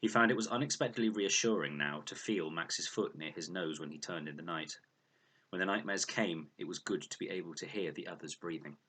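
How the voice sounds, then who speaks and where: A man narrates a storybook adventure expressively. His voice sits low, with a bright, forceful tone and a husky grain. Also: fairly quick. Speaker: audiobook reader.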